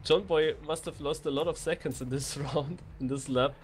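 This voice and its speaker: A man's voice: on the high side, fairly even intonation, normal speed, a little hiss or hum. A man giving conversational live commentary as a co-caster on an esports racing game. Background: music.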